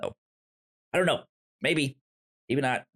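Guy on a movie podcast, slow and hesitant, middle pitch, lots of restarts. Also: wildly swooping pitch, vocal fry, fairly bright tone. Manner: hesitant, trails off, full of "like" and restarts. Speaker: podcast co-host. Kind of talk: casual movie review chat.

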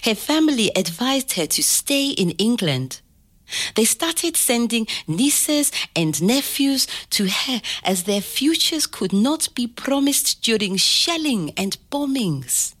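An older woman telling a family story to a child, slow and expressive, pausing to ask gentle questions. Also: a little high. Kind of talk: oral history storytelling to a child.

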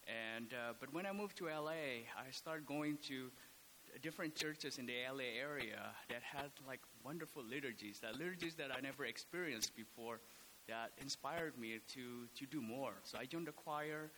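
Priest from indonesia, bright voice, lots of ums and restarts, faint hiss. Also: male voice, medium pitch, rough recording. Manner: halting, many fillers and restarts. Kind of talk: casual podcast talk.

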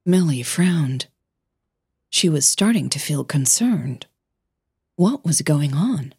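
A woman with a soft, breathy voice, a podcast host who narrates her own audio fiction, over music. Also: low-pitched, expressive, slow, no echo, studio quality. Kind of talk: scripted story narration with casual host outro. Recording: music bed under the voice.

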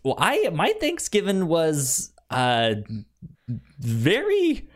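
A man's voice: a little high, wildly swooping pitch, extremely slow. A man hosting a casual podcast, often stammering and repeating his words. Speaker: podcast host.

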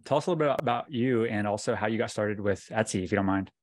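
A man's voice: lowish voice, fast, no room sound, clean studio sound. A man hosting a podcast interview in casual chat, asking informal, rambling questions. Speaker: podcast host interviewing a guest.

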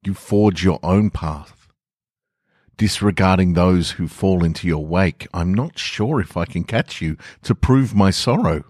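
A remorseful penitent, voiced by a man, earnest and pleading as he speaks. His voice is extremely deep and unhurried. The recording is dry and close. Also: darkish tone, no room sound. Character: remorseful man walking toward forgiveness. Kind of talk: scripted first-person story reading.

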